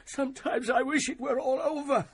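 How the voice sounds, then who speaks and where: A man's voice: very high voice, steady tone, moderate pace, vocal fry. An actor playing a frail, frightened old man in a radio drama, stammering and pleading. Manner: stammering, pleading, panicky outbursts. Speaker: elderly uncle.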